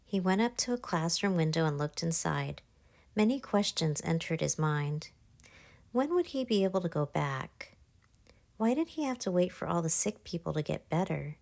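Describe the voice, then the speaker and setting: A woman narrating a children's picture book, reading aloud in simple, clear phrases for young listeners. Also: lowish voice, a little mellow, no room sound, clean studio sound. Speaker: author narrating her own children's book. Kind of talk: scripted children's storybook narration.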